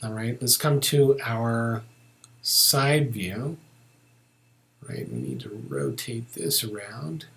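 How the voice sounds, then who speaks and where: Guy doing a screen recording tutorial, mid pitched voice, informal, kinda thinking out loud step by step. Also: slow, gravelly. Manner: informal, thinking aloud while working. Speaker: software tutorial instructor.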